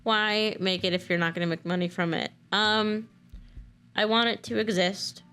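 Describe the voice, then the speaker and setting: An American woman talking casually and candidly, unscripted, in an informal and halting way, like a creator speaking to her audience. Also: mid-range voice, steady tone, fairly bright tone, smooth, faint music behind. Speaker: video creator and mod developer. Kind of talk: casual, unscripted talk to camera.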